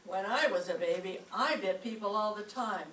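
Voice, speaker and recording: A woman's voice: mid-range voice, moderate pace, fairly bright tone, close to an everyday voice. A woman playing a wry, sarcastic schoolteacher in an old-time radio comedy. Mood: dry, sardonic. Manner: dry, sarcastic wisecracking. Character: wry, sardonic female schoolteacher. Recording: roomy, distant microphone, faint noise.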